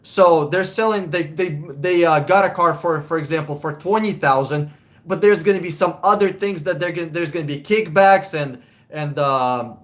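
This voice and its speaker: A man's voice: a little high, normal speed. A young man from Russia, hosting a casual live Q&A about buying cars, blunt and chuckling as he swears. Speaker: car buying advisor, livestream host. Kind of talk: live-stream Q&A with chat.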